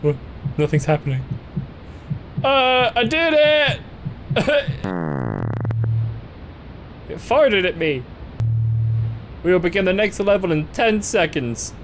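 A man's voice: high voice, big pitch swings, slow, pauses often, a little hiss or hum, rough recording. A young man in his twenties streaming a horror game on a headset mic, reading the screen aloud, joking and yelping at the scares. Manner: jokey, reads on-screen text aloud, laughs and yelps at scares. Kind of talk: horror game let's play commentary. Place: small dark room indoors.

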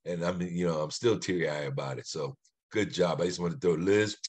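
A middle-aged man giving casual, heartfelt remarks on a video call, haltingly and repeating words. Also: low-pitched, darkish tone, husky. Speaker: actor on a panel.

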